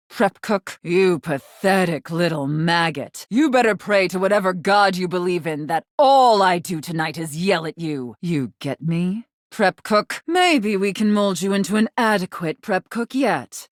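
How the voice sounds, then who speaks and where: An actress playing a haughty, sultry, domineering mistress in a video game, commanding and condescending, with drawn-out teasing emphasis. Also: female voice, lowish voice, dry recording, studio quality. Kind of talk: theatrical video game character acting.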